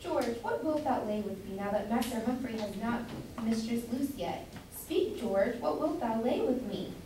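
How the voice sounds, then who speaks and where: A woman's voice: fairly high pitch, lively intonation, moderate pace, lightly acted. A woman playing a meddling, chatty citizen's wife who comments on a play, in an amateur staged reading. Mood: insistent. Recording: roomy, distant mic, faint noise.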